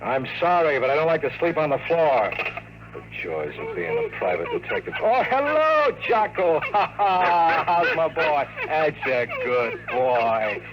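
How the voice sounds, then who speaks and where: A man's voice: on the high side, big pitch swings, unhurried, dull audio, noisy background. An American man acting a sardonic, hard-boiled private detective in a 1950s radio drama, delivering flippant lines and noir narration. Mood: wry, then playful with dog. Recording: vintage radio recording with music.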